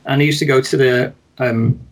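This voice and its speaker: A man talking as a guest in a casual chat, rather monotone, measured and hesitant with many restarts. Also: medium pitch, rough recording. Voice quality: somewhat monotone. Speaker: guest, wedding photographer.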